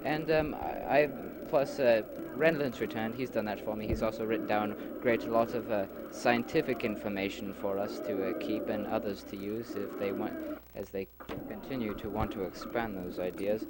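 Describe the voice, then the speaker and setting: A man playing an earnest leader in an amateur philosophical audio drama, explaining his society formally and haltingly on a homemade nineties recording. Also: on the low side, steady tone, heavy background noise, close to an everyday voice. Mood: earnest, explanatory. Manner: halting, explanatory, formal wording with many hesitations.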